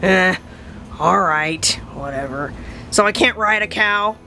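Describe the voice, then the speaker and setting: A woman's voice: fairly low pitch, takes it slowly, crowd noise, pitched below the performer's own voice. A woman voicing a defiant, sulky, mischievous panda plush toy, grumbling through a puppet skit.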